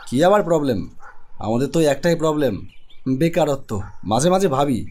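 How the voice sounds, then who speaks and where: Man acting a grumpy, fast-talking young Bengali man. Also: fairly high pitch, expressive, darkish tone, natural voice. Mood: irritated. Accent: West Bengal Bengali. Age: young adult. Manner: irritable, sarcastic. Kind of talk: animated cartoon dialogue.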